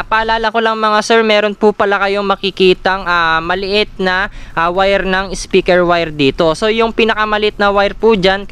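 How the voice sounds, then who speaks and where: Filipino guy doing a gear tutorial, polite, pretty high voice, super clear. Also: fairly bright tone. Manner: polite, instructional. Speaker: motorcycle gear reviewer. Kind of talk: step-by-step product tutorial narration.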